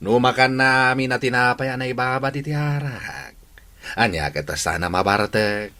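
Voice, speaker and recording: A man's voice: mid-range voice, moderate pace, bright, forceful tone, lightly acted. A man with an Ilocano accent playing a confident, conspiratorial village buddy who coaches his friend in a comedy radio drama. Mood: instructing.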